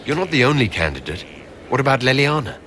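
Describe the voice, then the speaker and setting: An actor playing a stern, blunt fantasy inquisition leader with a commanding manner in a video game. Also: male voice, mid-range voice, very animated, fast talker, fairly bright tone, a little hiss or hum.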